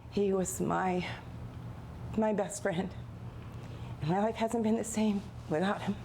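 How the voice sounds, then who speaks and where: Gravelly, mellow-voiced woman in an interview. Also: mid-range voice, fairly even intonation, slow, a little hiss or hum. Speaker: pet owner interviewed. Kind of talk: news interview testimony.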